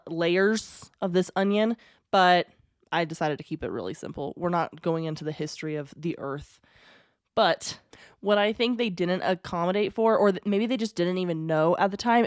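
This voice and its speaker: A woman's voice: mid-range voice, clean tone, no echo. Chatty woman with a dry, close voice. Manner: chatty and digressive, with asides and wry jokes. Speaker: podcast host. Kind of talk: casual storytelling podcast.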